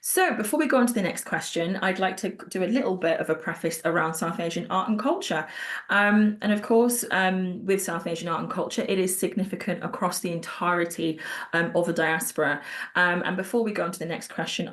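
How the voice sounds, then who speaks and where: A woman's voice: lowish voice, a little fast. A woman hosting an online panel discussion, speaking in an affirming, appreciative manner. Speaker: panel host. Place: online video call.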